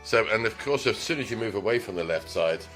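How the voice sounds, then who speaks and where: Low-voiced man demonstrating a radio. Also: expressive, fast talker, fairly bright tone. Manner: meandering, frequent ums and throat clearing. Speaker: vintage car radio repair technician. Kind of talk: casual hands-on product demonstration. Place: small repair shop. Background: car radio playing music.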